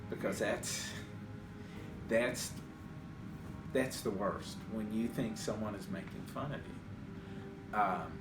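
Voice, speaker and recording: A middle-aged man who stutters, speaking reflectively in an interview, measured, with pauses and repeated words. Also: on the low side. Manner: measured, with pauses and word repetitions. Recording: roomy echo, distant mic, music behind. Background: guitar music.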